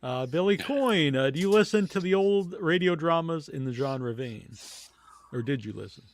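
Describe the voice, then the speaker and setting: Male interviewer, higher register, casual halting delivery, dry recording. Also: lively intonation, no room sound. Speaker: podcast host. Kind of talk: casual interview, reading listener questions.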